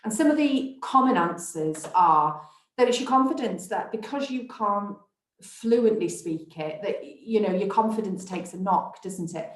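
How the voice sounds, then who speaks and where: A woman's voice: medium pitch, expressive, measured pace. A woman presenting a training webinar, talking in a reassuring, encouraging way. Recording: echoey room.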